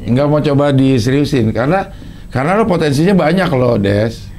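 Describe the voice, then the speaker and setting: Lively teasing male talk show host. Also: medium pitch, normal speed, slight background noise. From Cilacap, Central Java. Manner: relaxed, teasing interviewer. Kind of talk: casual chat.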